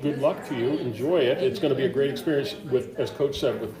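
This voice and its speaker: A man's voice: medium pitch, fast talker. A middle-aged man hosting a local sports interview show, asking long, rambling questions with lots of fillers. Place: high school gym. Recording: echoey gym with crowd noise.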